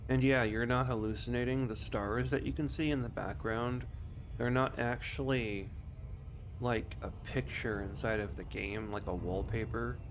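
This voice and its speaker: A man's voice: mid-range voice, moderate pace. A man giving casual gameplay commentary as a streamer, explaining things in a rambling way. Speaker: game streamer. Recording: clear steady background noise.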